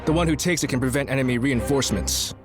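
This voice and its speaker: A man's voice: mid-range voice, quick pace, music in the background, lightly acted. A man playing a businesslike military strategist warrior in a video game cutscene.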